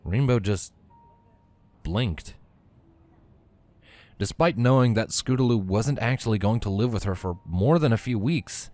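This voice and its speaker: Low-voiced man narrating a story. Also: a little mellow.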